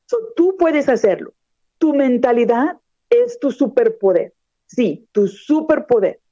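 Mexican woman radio host, middle-aged, encouraging coach, mellow tone, dry muffled recording. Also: a little high, no room sound. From northern Mexico. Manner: earnest, encouraging, addresses listener directly. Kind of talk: motivational talk on live radio show.

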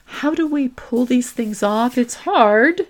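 Woman coach giving emphatic, deliberate advice. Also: fairly high pitch, animated. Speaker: career coach and podcast host. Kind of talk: instructional coaching monologue.

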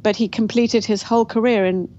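A woman's voice: fairly high pitch, fairly even intonation, brisk. Woman telling her story, lo-fi. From England. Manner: warm, reflective storytelling with frequent restarts and light laughs. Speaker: guest author. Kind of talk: interview conversation. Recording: dull lo-fi audio with faint noise.

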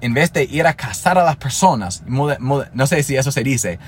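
A man's voice: medium pitch, animated, moderate pace, slight background noise. A man in his thirties, a native English speaker talking in Spanish, hosting a solo podcast in an earnest, motivational way with frequent restarts. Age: 30s. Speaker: podcast host.

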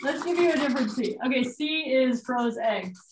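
A woman with a fairly high voice leads a coaching-style talk. She asks blunt, probing questions. She mixes jokes into her guidance. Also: moderate pace, a little hiss or hum, low-quality recording. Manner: direct, joking, probing questions. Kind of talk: guided coaching conversation.

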